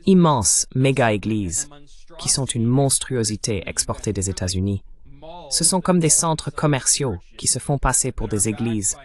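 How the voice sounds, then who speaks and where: A man delivering a voice-over translation of political commentary in a measured, explanatory style. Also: medium pitch, animated, darkish tone.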